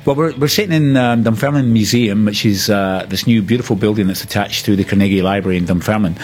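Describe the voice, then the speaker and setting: Reflective man, lowish voice. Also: fast talker, a little mellow, soft background music. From Scotland. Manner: thoughtful, hesitant with "uh" and "you know". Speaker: interviewee. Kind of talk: reflective reminiscing. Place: indoors in a museum.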